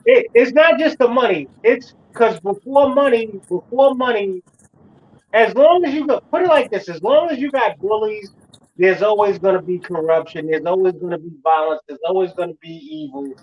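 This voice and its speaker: A man's voice: high-pitched, normal speed, lo-fi sound. A man in his forties talking casually, repeating phrases for emphasis as he makes his point. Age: 40s. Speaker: host. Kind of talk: casual chat.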